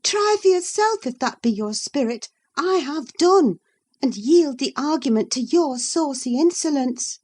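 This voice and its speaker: A woman's voice: sits high, expressive, measured pace, darkish tone, pitched above the performer's own voice. A woman voicing a proud, scornful young woman who speaks bluntly and emphatically. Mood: defiant.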